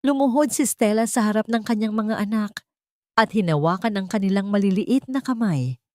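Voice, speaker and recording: A woman's voice: medium pitch, moderate pace, mellow, no room sound. A woman narrator reading a scripted dramatic story with suspenseful delivery.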